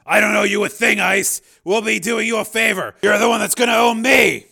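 Man acting a forceful, raised-voice tough cop. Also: on the high side, fairly quick, gravelly, breathy edge, pitched above the performer's own voice, full character voice. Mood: defiant. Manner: blunt, challenging, forceful. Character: tough, combative male police officer in armor. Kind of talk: audiobook character dialogue.

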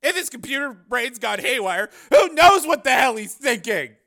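An exasperated male news cameraman in an audiobook, acted out by a man, pitched very high and forceful. He is nervy and sarcastic, protesting with sharp agitation. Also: sing-song, normal speed, exaggerated voice. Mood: sarcastic, agitated. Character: nervous, exasperated, sardonic young-sounding male TV news cameraman.

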